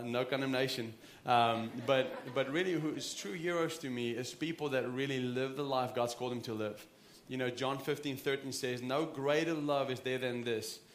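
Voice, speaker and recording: A man's voice: mid-range voice, roomy sound, slight background noise. A man in his thirties with a South African accent, preaching an earnest, conversational sermon with frequent restarts. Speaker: pastor. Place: church sanctuary.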